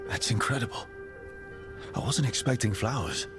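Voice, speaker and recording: An actor playing a supportive, level-headed adventuring leader in a fantasy video game, sincere and understated. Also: male voice, mid-range voice, animated, moderate pace, over music, natural voice. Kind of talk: video game dialogue acting.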